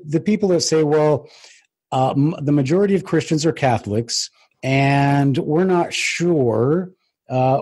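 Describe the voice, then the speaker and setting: A man casually asking questions as an interview host, hesitant and pausing often with ums. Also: mid-range voice, darkish tone, husky.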